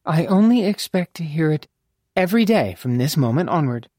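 A fond, wry older madame from a storybook, as an audiobook narrator puts her on. She is a formal, imperious woman with crisp, teasing phrasing. The voice sits well above the reader's own, in a dry, close recording. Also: deep voice, big pitch swings, moderate pace, warm tone, dry recording, pitched above the performer's own voice. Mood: mock imperious. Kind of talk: audiobook character dialogue.

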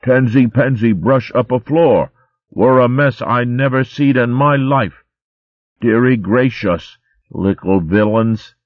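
A man's voice: medium pitch, slow, dry recording, lo-fi sound, pitched above the performer's own voice, lightly acted. A man voicing a small mischievous young mouse in a spoken storybook. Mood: cheeky. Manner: cheeky, sing-song teasing.

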